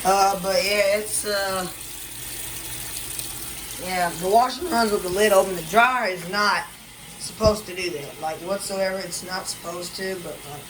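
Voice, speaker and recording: A man's voice: high voice, unhurried. A man rambles through a casual vlog walkthrough in a condo kitchen, full of fillers and restarts. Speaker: vlogger. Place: indoor condo kitchen by a running washer. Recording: noisy with running water and appliance sounds.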